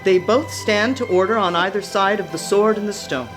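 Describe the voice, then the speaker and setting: A woman's voice: medium pitch, normal speed. A woman narrating a radio play from a script, setting out each scene as storyteller. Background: music.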